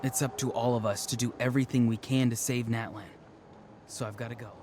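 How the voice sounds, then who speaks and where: A man's voice: medium pitch, steady tone, fairly quick, a little mellow, a little hiss or hum, close to an everyday voice. An actor playing an apologetic yet determined young man in a video game, speaking in an earnest, subdued way. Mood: apologetic, resolute. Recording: music under the voice.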